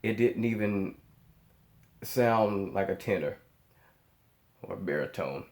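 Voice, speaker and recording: A lowish-voiced American man records reaction commentary in a roomy, echoing space. He talks casually and emphatically, repeating phrases for weight and often pausing to hunt for the right word. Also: lively intonation, creaky, a little mellow. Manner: halting, searching for words. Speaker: music reaction channel host. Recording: echoey, roomy sound.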